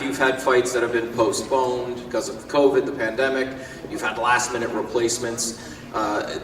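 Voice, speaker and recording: A man's voice: medium pitch, background noise. A man moderating a press conference, asking fighters and promoters questions with easy fillers. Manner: smooth, leading questions with fillers. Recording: echoey hall, far from mic.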